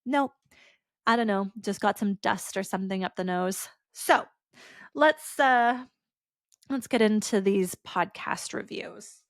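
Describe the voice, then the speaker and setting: A woman's voice: medium pitch, slow pace, no room sound, clean studio sound. A woman hosting a solo podcast, talking casually with lots of "like" fillers, her voice stuffy and congested. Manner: chatty, casual, with frequent "like" fillers. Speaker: podcast host.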